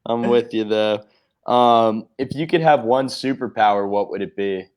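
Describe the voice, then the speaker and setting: A man's voice: fairly low pitch, moderate pace, a little mellow, clean tone. A man with an American accent hosting a casual interview in a laid-back, affirming way. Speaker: interview host.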